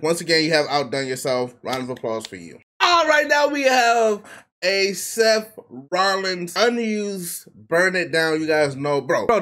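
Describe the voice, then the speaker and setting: A young man hosting a casual reaction video from his bedroom on a headset mic, hyped and excitable. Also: on the high side. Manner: excitable, hype, sings lines back. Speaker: YouTube reaction host. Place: bedroom at home.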